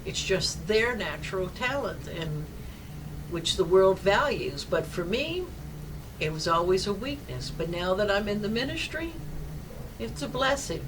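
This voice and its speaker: An older woman, a chaplain, giving a rambling devotional talk in a low-pitched, loud and projecting voice. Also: expressive, measured pace, recorded from a distance, background noise. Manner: loud and forceful, rambling personal stories.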